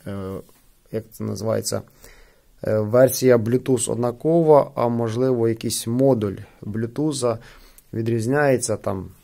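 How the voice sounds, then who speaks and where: Male unboxing reviewer, gravelly mid-pitched voice, casual and explanatory. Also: darkish tone. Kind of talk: casual hands-on product demonstration. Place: indoors at a wooden table.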